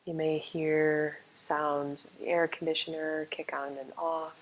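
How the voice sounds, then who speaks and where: A woman leading a guided meditation as a yoga nidra teacher, speaking calmly and soothingly with long, unhurried pauses. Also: low-pitched, low-quality recording.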